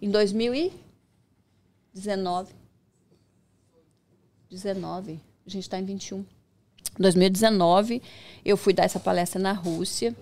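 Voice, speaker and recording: A woman chatting as a podcast guest, telling her story with lively jumps in pitch when she gets excited. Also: measured pace, pauses often. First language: Portuguese. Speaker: podcast guest, micropigmentation professional. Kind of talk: casual conversation.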